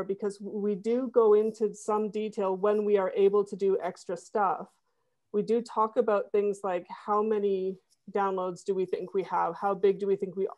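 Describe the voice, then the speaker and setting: A woman's voice: mid-range voice, darkish tone, highs cut off. A woman guest on a podcast, explaining things casually and conversationally in a wordy, rambling way.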